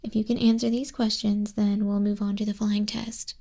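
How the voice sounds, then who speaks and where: A woman playing an exacting female griffin flying instructor in a hushed, breathy, measured ASMR roleplay, close to a binaural microphone. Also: medium pitch, little pitch movement, natural voice. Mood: businesslike, exacting. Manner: measured, unhurried, strict examiner tone. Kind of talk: soft-spoken, whispery ASMR roleplay.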